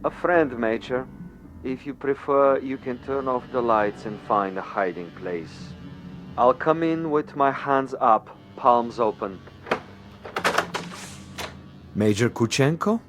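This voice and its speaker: A man's voice: medium pitch, unhurried, soft background music, close to an everyday voice. A man playing a cultured, menacing commissar and assassin in a drama, speaking with theatrical mock courtesy and relishing his words. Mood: mock-courteous. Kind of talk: dramatic TV-style dialogue acting.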